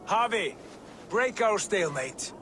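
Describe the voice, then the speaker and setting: An actor playing an exasperated Norse god and strategist in a video game, measured and admonishing. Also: male voice, high voice, lively intonation, bright, forceful tone, slight background noise, heavily acted. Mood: urgent. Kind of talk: video game cutscene acting.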